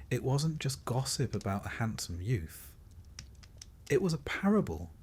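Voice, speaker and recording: A man with a fairly low, husky voice narrates a sleep story. His delivery is calm and measured, like scripted bedtime storytelling, with a dry, wry edge.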